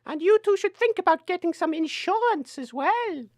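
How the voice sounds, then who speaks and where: A woman's voice: very high-pitched, animated, moderate pace, a little mellow, no room sound, clean studio sound. A woman playing a cheerfully menacing quack lady doctor with French phrases in a theatrical comedy sketch. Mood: smugly sinister. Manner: theatrical, politely sinister. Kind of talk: audio sketch comedy acting.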